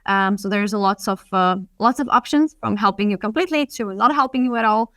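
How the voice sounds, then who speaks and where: A customer success lead answering questions in a webinar, reassuring and full of small ums and restarts. Her voice is smooth and clear, recorded dry and close over a video call. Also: medium pitch, brisk, a bit bright, no room sound.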